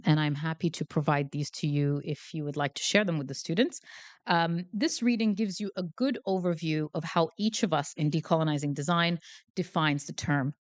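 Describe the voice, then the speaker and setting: A woman giving a guest lecture in a hall, chatty and self-deprecating, with many fillers, speaking into a handheld mic. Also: sits low. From Canada. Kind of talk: academic lecture. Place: lecture hall before a live audience.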